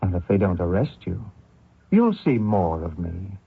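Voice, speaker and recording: Self-righteous avenger, thin radio sound. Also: male voice, low voice, sing-song, gravelly, breathy edge, no room sound, heavily acted. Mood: accusing. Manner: deliberate, taunting, theatrical. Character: vengeful, self-righteous Canadian man pursuing a fugitive. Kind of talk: old radio drama acting. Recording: muffled thin old radio recording.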